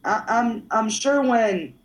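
A woman's voice: a little high, lively intonation, slow, rough recording. Woman artist guest, loose and rambling, drops swear words, keeps checking you're with her. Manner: rambling, frequent "you know what I mean", casual swearing. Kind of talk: casual interview talk.

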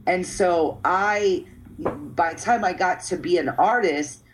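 A woman's voice: lowish voice, slow, slight background noise, low-quality recording. Rambling, casually swearing woman artist. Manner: rambling, frequent "you know what I mean", casual swearing. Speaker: artist guest. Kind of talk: casual interview talk.

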